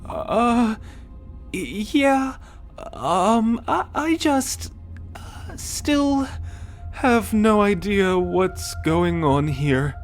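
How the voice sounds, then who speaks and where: A man's voice: high-pitched, big pitch swings, very slow, pauses often, soft background music, exaggerated voice. A man voicing a bewildered young great-grandson, stammering and hesitant, in a scripted ASMR roleplay. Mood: confused.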